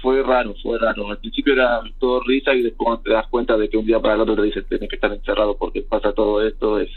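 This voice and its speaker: A man's voice: fairly low pitch, level tone, racing, husky. A young man with an Argentine accent, talking as an interview guest and rambling with many restarts and fillers. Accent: Argentine Spanish. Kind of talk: casual remote interview. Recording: tinny phone-line audio.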